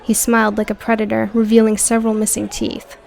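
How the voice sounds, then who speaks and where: A woman's voice: fairly high pitch, completely flat delivery, a little fast, creaky, darkish tone, some chatter behind. A woman reading scripted audio book narration as the narrator.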